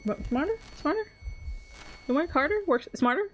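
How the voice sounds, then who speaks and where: A woman's voice: high voice, lively intonation, a little fast, slight background noise, poor audio. A woman giving casual, playful game reactions, giggly and informal, often repeating herself. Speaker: streamer. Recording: background music behind the voice. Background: game music.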